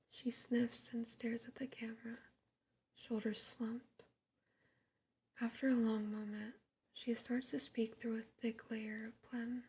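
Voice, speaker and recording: A woman whispering in a breathy voice, slowly reading a book aloud ASMR-style and chatting softly, close to the mic. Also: a little high, a little hiss or hum. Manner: soft, slow, reads aloud while greeting chat. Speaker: ASMR streamer.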